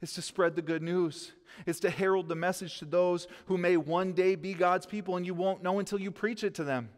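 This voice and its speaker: A man's voice: sits high, steady tone, fairly quick. A man in his thirties, a pastor preaching at a lectern, earnest and gesturing as he quotes scripture. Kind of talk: sermon. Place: church stage behind a lectern, indoors. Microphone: headset mic. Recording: long hall reverb.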